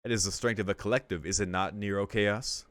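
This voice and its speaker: A man reading a calm, mocking vampire princess. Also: lowish voice, brisk, dry recording, close to an everyday voice. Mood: calm taunting. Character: proud young vampire princess, calm fighter.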